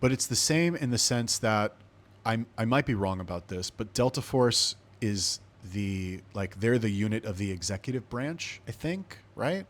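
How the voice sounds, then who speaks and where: A young man with a fairly low voice talks on his podcast. He rambles casually through a personal story, swearing often and wandering into side remarks. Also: animated, moderate pace. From Los Angeles, USA. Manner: profane, rambling, digressive. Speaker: podcast host.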